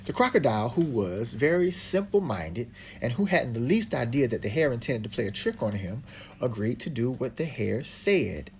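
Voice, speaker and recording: A woman's voice: rumbling low, sing-song, moderate pace, a little mellow, a little hiss or hum. A woman reading a storybook aloud as the narrator. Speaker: narrator, reading partner to a child.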